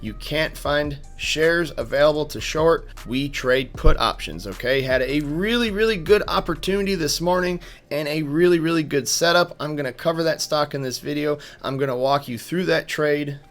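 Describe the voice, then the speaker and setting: A man's voice: on the high side, fairly bright tone. A man who is slightly losing his voice, giving casual, emphatic stock market commentary as a channel host. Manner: chatty, emphatic, repeats words for stress.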